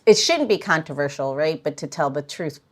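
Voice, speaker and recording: A woman's voice: sits low, animated, a little fast. A woman hosting a podcast interview, chatting casually and often restarting her sentences. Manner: hesitant, many restarts. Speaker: podcast host.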